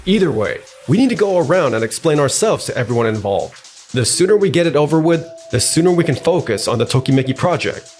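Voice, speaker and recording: A man's voice: on the high side, big pitch swings, brisk, darkish tone, faint music behind. A man playing an irritable, defensive company boss in a manga dub, curt and exasperated. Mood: irritated. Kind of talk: expressive manga dub voice acting.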